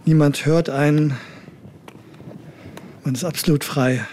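An actor playing a chatty lone hiker with a cold, musing to himself in a wry, rambling way outdoors in the mountains. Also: male voice, a little high, fairly even intonation, takes it slowly, darkish tone, slight background noise. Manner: wry, musing, self-talking. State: has a cold. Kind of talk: inner monologue and dialogue.

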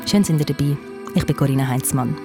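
A woman hosting a news podcast, narrating calmly and reflectively into a studio microphone. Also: extremely low pitch, quick pace, over music. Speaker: podcast host.

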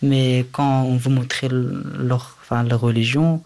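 A young man, speaking a language he learned later, answers as an interviewee. He recounts his own experience in a medium-pitched voice. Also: flat delivery, slow, creaky, darkish tone. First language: a language other than French. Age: young adult. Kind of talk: personal testimony in an interview.

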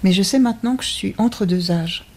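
A woman's voice: medium pitch, darkish tone, slight background noise. A woman in her fifties, an actress, speaking thoughtfully and wryly about her career in an interview. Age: 50s. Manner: thoughtful, measured, wry. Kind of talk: reflective interview talk.

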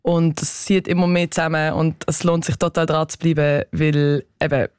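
A young Swiss woman, an actress, speaking with a Swiss German accent as a guest in a radio studio, talking with lively enthusiasm in quick bursts. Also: low voice, clean tone, dry recording. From Switzerland. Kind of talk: casual radio interview.

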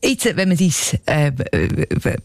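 A woman's voice: sits low, wildly swooping pitch, slow pace, dry recording. A woman, conducting a conversational interview.

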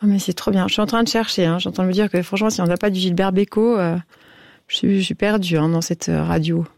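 A woman's voice: fairly low pitch, steady tone, quick pace, creaky, darkish tone, no room sound. A woman hosting a radio phone-in, chatting casually and effusively with callers in an encouraging way.

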